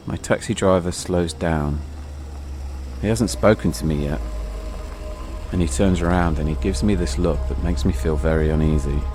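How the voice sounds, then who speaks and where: A man narrating a dramatic first-person travel story in measured, suspenseful short phrases, as a storytelling podcast host. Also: deep voice, mellow.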